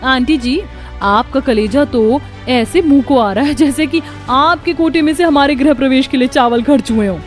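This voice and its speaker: A woman voicing a sharp-tongued young daughter-in-law in a cartoon story, bickering with indignant sarcasm. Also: sits high, normal speed, soft background music, pitched above the performer's own voice, lightly acted. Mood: sarcastic.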